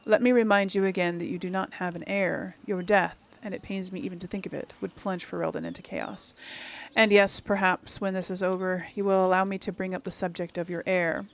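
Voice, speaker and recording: The woman you would hear on a role-playing game channel, thinking aloud and reading to viewers in a creaky voice. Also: on the low side, steady tone, a little fast. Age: 30s or older. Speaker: game streamer, role-play series host.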